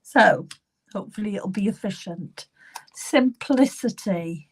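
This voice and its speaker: A middle-aged woman hosting a live psychic reading session, chatting gently with viewers by name, her voice still a little croaky and at times falling to a whisper. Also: mid-range voice, animated, unhurried, low-quality recording. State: slightly croaky voice. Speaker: psychic medium.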